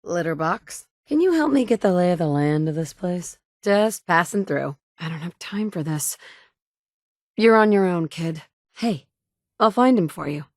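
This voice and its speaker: A woman's voice: lowish voice, lively intonation, measured pace, dry recording, clean studio sound. An actress voicing a tough, blunt woman who survives the wasteland and leads others, delivering short game lines in a terse, firm way. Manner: terse and firm, often curt. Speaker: player character. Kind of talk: video game dialogue lines. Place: studio booth.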